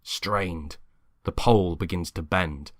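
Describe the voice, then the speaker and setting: A man's voice: deep voice, slow, dry recording, clean studio sound. A man reading a scripted story aloud as a dramatic narrator. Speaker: story reader.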